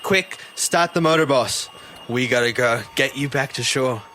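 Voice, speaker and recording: An actor playing an earnest, haunted fisheries officer who tells his story haltingly in a first-person audio drama. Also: medium pitch, slight background noise. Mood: urgent, alarmed. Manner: halting, earnest, stammering when pressed. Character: haunted male fisheries officer recounting a ghost-ship encounter. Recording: loud boat and sea ambience behind.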